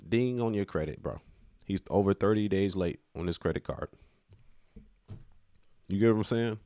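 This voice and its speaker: A man with a low-pitched voice talks off the cuff, giving his opinions in loose, slangy speech. He repeats phrases to press his point and speaks emphatically. The sound is dry, with hardly any room echo. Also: normal speed, mellow. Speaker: commentator on current affairs. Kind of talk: casual unscripted opinion commentary.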